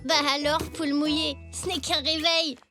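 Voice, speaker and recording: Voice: high voice, flat delivery, normal speed, pushed and bright, faint music behind. A performer playing an eager, impatient little boy zebra in a cartoon, with a childlike, questioning manner. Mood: curious. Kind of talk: French children's cartoon dubbing.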